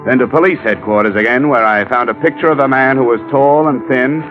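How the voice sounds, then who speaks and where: A man's voice: medium pitch, brisk, natural voice. A man playing a dry, wisecracking insurance investigator in a 1950s radio detective drama. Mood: dry narrating. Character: wry, unflappable hard-boiled insurance investigator. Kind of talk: 1950s radio detective acting and scripted narration. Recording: dull old radio recording, music behind.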